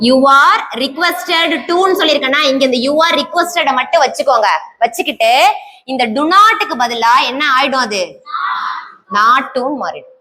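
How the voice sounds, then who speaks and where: Bright high-voiced woman teaching grammar. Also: lively intonation. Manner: explains step by step, asks the class questions and answers them herself; reads English example sentences out very slowly, word by word. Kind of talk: classroom grammar lesson, teaching students.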